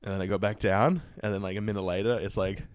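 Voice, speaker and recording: A man's voice: lowish voice, steady tone, fast talker, no echo, clean studio sound. A man hosting a comedy podcast, telling casual stories with slangy swearing and acted-out quotes. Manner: sweary, slangy, acts out quotes in mock outrage. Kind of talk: casual chat, comic storytelling.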